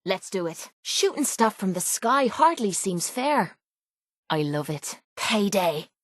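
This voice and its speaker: A woman's voice: mid-range voice, very animated, slow, no echo, studio quality. Brash, tough Irish mercenary woman. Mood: cocky, sardonic. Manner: blunt, cocky, slangy. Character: tough, brash young mercenary woman, sarcastic and foul-mouthed. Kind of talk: video game companion voice lines.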